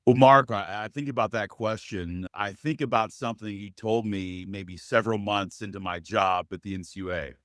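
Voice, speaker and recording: Brief: male interview guest, deep and loud, earnest and measured, dry close sound. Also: no room sound. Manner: earnest, measured, addresses host by name often. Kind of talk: interview conversation.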